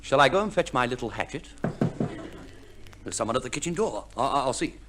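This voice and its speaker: An actor playing a droll, opportunistic gentleman husband in a genteel 1950s radio comedy play, on an old recording. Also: medium pitch, animated, brisk, background noise, natural voice. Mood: wry, resigned.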